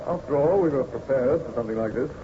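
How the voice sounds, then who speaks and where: An actor playing a steady, courteous ship captain in an old-time radio drama, speaking in a formal, measured, authoritative manner. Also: male voice, medium pitch, natural voice. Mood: concerned, resolute. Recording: dull, very noisy old radio recording.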